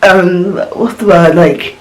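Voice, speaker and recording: A man's voice: high-pitched, steady tone, slow, poor audio. A man vlogging a casual film reaction, rambling and searching for words as he gushes.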